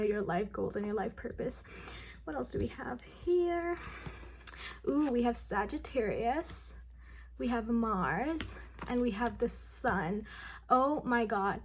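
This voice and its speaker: A young woman with a hoarse, raspy voice from losing it, giving a casual chatty tarot card reading to her viewers with frequent ums. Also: fairly high pitch, darkish tone, a little hiss or hum.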